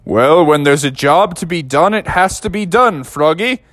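A man voicing a steady, dutiful old male leader giving calm advice. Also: fairly high pitch, normal speed, no room sound, clean studio sound. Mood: advising.

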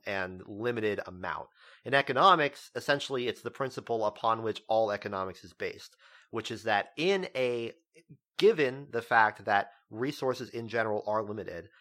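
Male podcast co-host, low-pitched, lectures in long explanations. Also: fairly bright tone. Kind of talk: casual discussion podcast.

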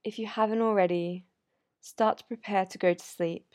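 A woman guiding a sleep meditation in a soothing, unhurried, gently instructive manner. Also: mid-range voice, steady tone, no room sound, studio quality. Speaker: yoga nidra meditation guide. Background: soft sound healing instrumental music.

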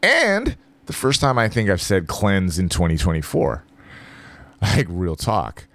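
A man hosting a podcast, talking loosely and jokingly to the listener, explaining fasting in a casual monologue. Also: low voice, huge pitch swings, fairly bright tone, no room sound. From Philippines.

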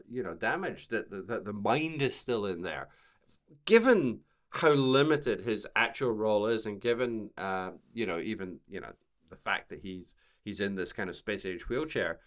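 A man co-hosting a podcast, discussing and critiquing in a casual, halting way, repeating words for emphasis. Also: fairly low pitch, lively intonation. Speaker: podcast co-host.

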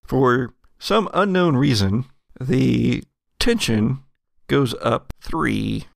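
A man narrates a tabletop roleplaying game with heavy vocal fry. He speaks slowly and deliberately, feeling his way through descriptions, and the sound is close and dry. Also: medium pitch, animated, no echo. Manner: halting, deliberate scene description. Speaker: game master.

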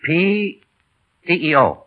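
An actor playing a loyal, excitable veteran pilot in 1940s radio drama, earnest and slangy with a husky voice. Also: male voice, fairly high pitch, takes it slowly, full character voice. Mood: wary, bluffing. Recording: tinny, band-limited vintage radio broadcast.

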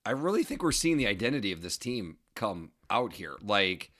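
A man co-hosting a casual sports podcast, his voice raspy and hoarse from screaming at a game, running through scores and stats between chat. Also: on the low side, normal speed. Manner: reads scores and stats in a steady run, then chats. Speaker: podcast co-host.

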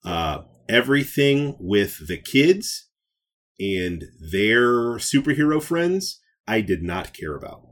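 A man's voice: medium pitch, very animated, slow, fairly bright tone. A man speaking as a podcast co-host in a casual review chat, conversational and blunt about his opinions.

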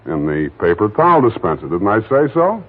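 A dry, wisecracking private eye. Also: male voice, fairly low pitch, big pitch swings, brisk. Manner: dry, sardonic. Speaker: private detective. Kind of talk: 1940s radio acting. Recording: thin phone-like old radio recording.

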